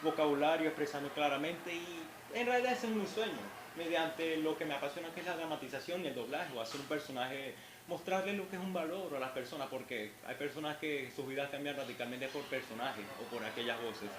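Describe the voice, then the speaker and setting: A man's voice: on the high side, brisk, few pauses. A young man at a convention Q&A, talking in an earnest, rambling way with restarts. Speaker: fan asking questions. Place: convention hall. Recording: echoey hall with background noise.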